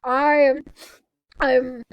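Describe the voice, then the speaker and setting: A young woman with a very high voice talks casually, as if on a call with a friend. She is giggly and slips into mock-dramatic sarcasm. The sound is close and dry. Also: little pitch movement, very slow, clear, resonant tone, no echo, dull audio. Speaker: co-host reading fanfiction.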